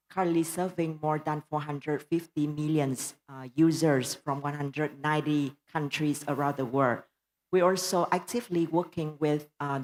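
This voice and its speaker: A woman with a Vietnamese accent introducing herself on a conference panel, polite with many uh fillers. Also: deep voice.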